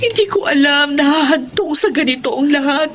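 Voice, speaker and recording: Very shrill, guilt-ridden mistress. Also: female voice, animated, moderate pace, music in the background. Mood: guilty, pleading. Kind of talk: Filipino radio drama acting.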